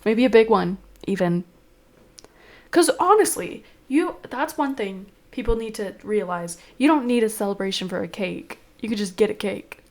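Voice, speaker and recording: A woman's voice: mid-range voice, lively intonation, moderate pace, a little mellow. Young woman, stuffy nose, sniffly, chatty, rambling, venting. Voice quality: rambling, frequent fillers. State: congested, sniffling. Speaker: vlogger. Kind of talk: casual chat.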